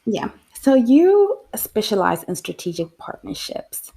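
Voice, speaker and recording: Gravelly-voiced woman host. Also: a little high, very animated, a little slow, dark tone. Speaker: podcast host. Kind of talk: casual interview chat.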